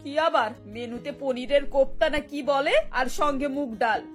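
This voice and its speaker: A woman's voice: high voice, moderate pace, natural voice. A woman voicing a sarcastic, fed-up older mother-in-law in a cartoon, grumbling as she talks. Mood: grumbling, resentful. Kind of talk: Bengali cartoon voice acting. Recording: music bed behind the voice.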